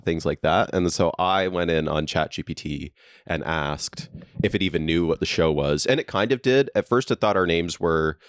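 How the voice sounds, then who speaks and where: A young man talks in a deep, low register, relaxed and agreeable, often breaking into a chuckle. His voice is picked up dry and close, with no room around it, as he co-hosts a casual podcast from a basement. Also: moderate pace, no echo. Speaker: podcast co-host. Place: friend's basement.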